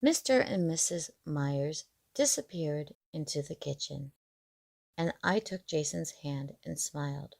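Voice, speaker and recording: A woman narrating a scripted story in the first person, in a measured, expressive storytelling manner. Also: sits low, gravelly, no echo, clean studio sound.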